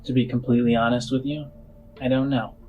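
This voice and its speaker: A man voicing a shrewd, skeptical female boss in a tabletop game, explaining things in a measured, halting way. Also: medium pitch, little pitch movement, phone-quality sound, natural voice. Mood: measured, serious.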